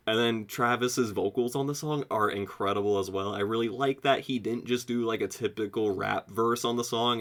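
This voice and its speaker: Low-voiced young American man reviewing music. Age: young adult. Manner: excitable, slangy, heavy use of "like", frequent swearing. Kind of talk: casual album review.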